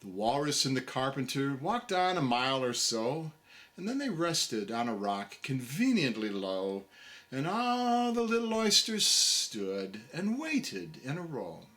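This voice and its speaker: A man reciting a comic narrative poem in a dramatic, deliberate storybook manner. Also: mid-range voice, big pitch swings, fairly bright tone.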